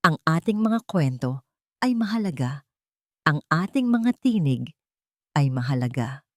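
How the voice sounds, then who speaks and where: Audio story role: elderly grandmother voiced by a woman, gentle, resolute, grateful, dry sound. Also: low voice, very animated, measured pace, dry recording. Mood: gentle, firm. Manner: gentle but firm.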